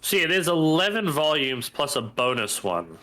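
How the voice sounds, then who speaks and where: A man's voice: on the high side, big pitch swings, normal speed, bright, forceful tone. Stuffy-nosed man chatting on a stream. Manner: chatty, thinks aloud while browsing. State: stuffy from hay fever. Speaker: stream co-host. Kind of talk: casual live-stream chat.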